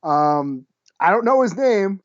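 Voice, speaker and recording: Higher-voiced man, dry slightly muffled sound. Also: animated, slow, no room sound. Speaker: podcast co-host. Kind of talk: casual podcast chat. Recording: slightly dull, close dry recording.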